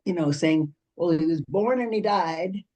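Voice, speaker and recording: A man's voice: high-pitched, measured pace, dark tone. A man on an arts panel telling a rambling anecdote, full of "so" and "you know". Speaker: panelist, arts organization president.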